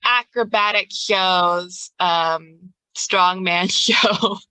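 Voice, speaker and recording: A woman running a tabletop game as game master, improvising narration in halting, informal phrases. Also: fairly low pitch, pushed and bright, smooth, no room sound, poor audio.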